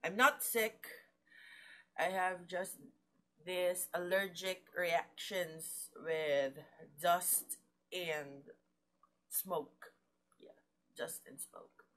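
A young woman chats into her webcam from a small room indoors. Her voice is hoarse and pitched fairly low, and she drawls slowly, drifting from thought to thought with plenty of fillers. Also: steady tone, bright, forceful tone, rough recording. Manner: slow, rambling, with fillers and pauses. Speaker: vlogger and mandolin player. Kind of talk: casual chat to camera. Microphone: webcam or laptop at desk.

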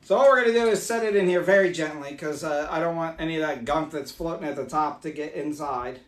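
A man in his forties, hoarse from losing his voice, chatting upbeat as he demonstrates mead making live in a small room. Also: on the high side, fast talker, reverberant, slight background noise. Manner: chatty, upbeat, explains as he works. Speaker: meadery owner hosting a live stream. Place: small home meadery room, indoors.

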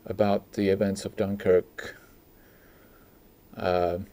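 A man discusses film casually as a podcast co-host, in a hesitant, halting way. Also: sits low, flat delivery, warm tone. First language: Finnish. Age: 40s. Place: small indoor stage with curtains, seated at a table.

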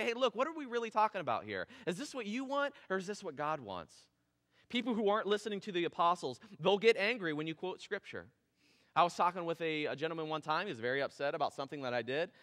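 A man in his thirties preaching a casual, animated sermon on a church stage. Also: high voice. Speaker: pastor. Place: church stage with drum kit. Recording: long hall reverb in church.

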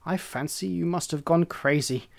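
A storyteller voicing a conceited, bossy hen who lectures in a raised, high voice. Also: normal speed, creaky, natural voice. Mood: scolding. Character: bossy conceited hen, scolding and self-important. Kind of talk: storybook reading.

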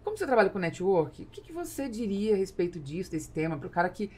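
A woman's voice: medium pitch, very animated, fast talker, a little mellow, slightly rough. A middle-aged woman with a Brazilian accent interviewing conversationally as a podcast host, close to the microphone in a small studio. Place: small studio with acoustic foam, indoors. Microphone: close podcast microphone on boom arm.

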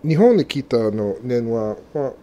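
Halting man, mellow voice. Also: medium pitch, sing-song, lo-fi sound. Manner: halting non-native speech with frequent restarts and laughs. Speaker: co-host. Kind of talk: casual radio chat.

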